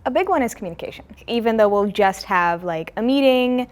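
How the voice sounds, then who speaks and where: A woman's voice: on the high side, animated, fairly quick. A young woman who co-creates and writes a comic, explaining her process in an interview.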